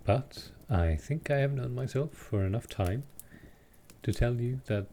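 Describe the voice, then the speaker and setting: A man voicing a gentle, courtly vampire lord in a roleplay audio drama, speaking softly and formally with halting care. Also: mid-range voice, animated, a little hiss or hum. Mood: courtly, reassuring. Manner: soft, slow, formal, halting. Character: gentle old-world male vampire lord, protective and courtly.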